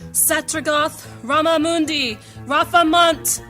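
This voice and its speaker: A woman's voice: high voice, takes it slowly, bright, forceful tone, faint music behind, exaggerated voice. A woman playing a resolute, commanding mage with formal archaic diction in a fantasy audio drama. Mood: fierce incanting. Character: proud, commanding female mage, resolute and incanting spells.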